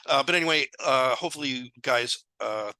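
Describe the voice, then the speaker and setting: Hoarse, sick man in his 30s, gravelly, very bright tone, casual math tutor on a headset. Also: medium pitch, fairly quick, no echo. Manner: casual, thinking aloud with fillers. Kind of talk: casual lecture walkthrough. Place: small room indoors.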